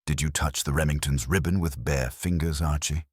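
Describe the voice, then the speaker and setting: Guy doing a pompous genius detective, really deep, clipped and formal, bossy, audiobook. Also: moderate pace, breathy edge, no echo, studio quality. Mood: imperious, precise. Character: imperious, pedantic male genius detective.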